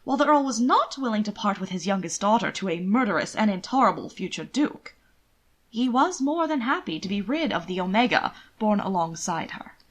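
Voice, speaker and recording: A woman reading scripted period fiction aloud as an audiobook narrator, in an expressive, theatrical storytelling manner. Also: medium pitch, brisk.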